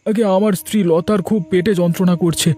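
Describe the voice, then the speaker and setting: A man's voice: high voice, steady tone, fairly quick, dark tone, slightly rough. A man playing a sarcastic, grumbling poor farmer and long-suffering husband in a cartoon. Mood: distressed, complaining. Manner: sarcastic, complaining. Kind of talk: Bengali cartoon dialogue. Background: background music.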